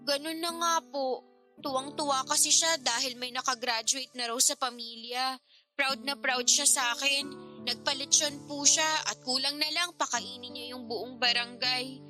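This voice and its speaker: A woman plays a young niece in a radio drama, her voice high and girlish. She is hesitant, deferential and worried, pleading softly with an elder over prominent background music. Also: steady tone, normal speed, lightly acted. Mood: worried, apologetic. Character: apologetic young niece, fresh senior high graduate, worried and respectful.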